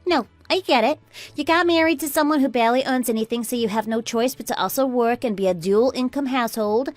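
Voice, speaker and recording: A woman playing a smug, catty sister-in-law in a radio drama, preachy and boastful in an animated way. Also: fairly high pitch, fairly quick, dry recording, soft background music, lightly acted. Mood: indignant. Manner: animated, preachy, boastful, swooping into indignant questions. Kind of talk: scripted radio drama dialogue.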